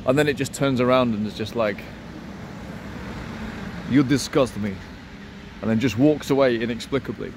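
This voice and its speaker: A man's voice: mid-range voice, moderate pace, pauses often. Guy in his 30s vlogging outside, cheeky and flirty about languages, bit of traffic behind. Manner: playful, flirtatious about languages, self-assured. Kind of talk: casual vlog monologue. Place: outdoors in a city street, by a wall.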